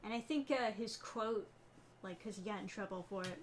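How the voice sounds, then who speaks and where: A woman's voice: medium pitch, normal speed, a little hiss or hum. A woman chatting casually as a podcast co-host, making dry interjections. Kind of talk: casual chat.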